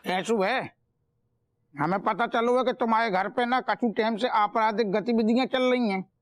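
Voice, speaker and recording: A man's voice: high-pitched, normal speed, lightly acted. A man playing a folksy Bundelkhandi village cop. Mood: pompous. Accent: Bundelkhandi Hindi. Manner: folksy, rambling, full of rustic sayings. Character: rustic small-town police inspector, sly and pompous. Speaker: police inspector.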